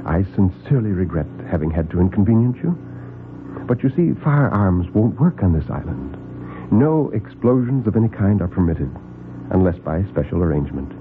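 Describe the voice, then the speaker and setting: A man's voice: low voice, lively intonation, noisy background, voice pushed down. Man doing a suave, menacing doctor. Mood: suave menace. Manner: slow, silky, overly polite menace. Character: calm sinister male doctor, smooth, superior. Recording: tinny lo-fi old radio broadcast.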